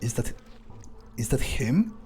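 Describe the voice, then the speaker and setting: A man's voice: medium pitch, sing-song, slow pace, mellow, slight background noise. A man playing a video game and commenting in character, thinking aloud with hesitant, dryly understated musing. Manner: hesitant musing, dry understatement, casual swearing. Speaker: video game player and host.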